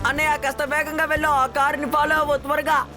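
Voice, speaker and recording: A high, youthful male cartoon cat, voiced by an actor. He is bossy and excitable, throwing out urgent exclamations. Music plays clearly behind him. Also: quick pace, lightly acted. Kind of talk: children's cartoon voice acting.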